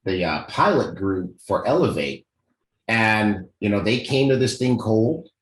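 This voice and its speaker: A man's voice: lowish voice, expressive, measured pace, slight background noise. A man co-hosting a company livestream, enthusiastic and easygoing, with folksy sayings. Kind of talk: casual promotional livestream chat. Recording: echoey room.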